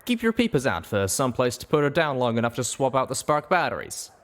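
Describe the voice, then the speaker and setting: A man's voice: mid-range voice, big pitch swings, brisk, voice pushed up, close to an everyday voice. A man voicing a folksy, easygoing pegasus pilot who calls out in a drawl in an audio storybook. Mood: concerned, calling out.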